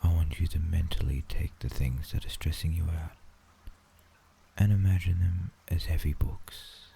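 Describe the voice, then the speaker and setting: A man with an Australian accent narrating a sleep visualization in a soothing, hushed ASMR manner, often dropping into a whisper. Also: extremely low pitch, moderate pace, creaky. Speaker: guided meditation narrator. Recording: faint background noise and ambience.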